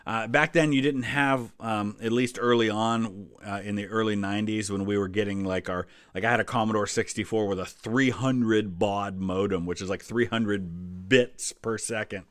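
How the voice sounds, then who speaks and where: A man's voice: fairly low pitch, steady tone, normal speed, few pauses, a bit bright, no room sound. An American man in his forties giving casual, conversational commentary as a history channel host. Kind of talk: casual reaction commentary to a quiz.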